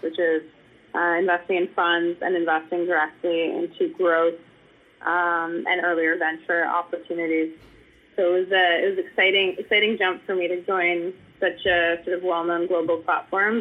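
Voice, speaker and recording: A woman guest investor describing her career in a measured way, with some fillers. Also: lowish voice. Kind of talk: interview answers. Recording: phone-like lo-fi line.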